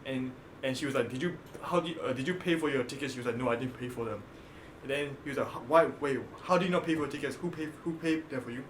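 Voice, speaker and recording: A man co-hosting a casual podcast, telling a story excitedly with lots of swearing among friends. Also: mid-range voice, fast talker, echoey room, a little hiss or hum, rough recording. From raised partly in Singapore. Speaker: podcast co-host. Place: indoor room with friends.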